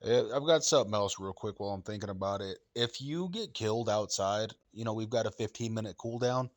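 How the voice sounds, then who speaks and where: A man's voice: fairly low pitch, a little fast, no echo, clean studio sound. A man firmly laying down rules at a casual meeting, blunt and direct. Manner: blunt, firm.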